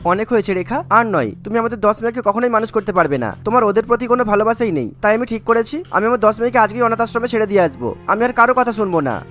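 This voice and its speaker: A man's voice: high voice, lively intonation, rapid-fire, fairly bright tone, faint music behind. A man playing a fed-up, stern husband and father in a cartoon, scolding resolutely. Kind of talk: melodramatic cartoon voice acting.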